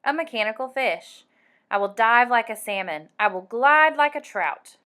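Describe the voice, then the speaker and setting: A woman's voice: fairly high pitch, expressive, moderate pace, sharp tone, clear, resonant tone. A woman voicing an eager, dreamy inventor father in a storybook, proclaiming things grandly and proudly. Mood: proud, excited.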